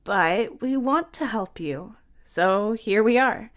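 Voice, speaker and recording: A woman's voice: medium pitch, lively intonation, slow, fairly bright tone, voice pushed up. Woman acting kind, shy young woman. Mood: caring.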